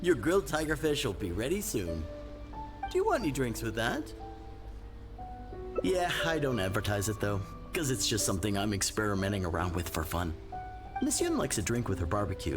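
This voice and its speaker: A man's voice: on the low side, very animated, moderate pace. A man voicing a cheerful, chatty food stall cook in a video game, folksy and eager, over music. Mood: friendly, chatty. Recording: game music bed behind voice.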